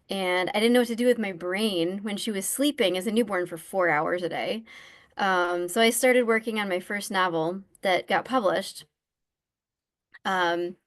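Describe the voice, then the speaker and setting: A woman author, a guest, chatting casually over a video call about how she started writing, soft-spoken with plenty of fillers. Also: medium pitch. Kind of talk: casual storytelling about her writing path.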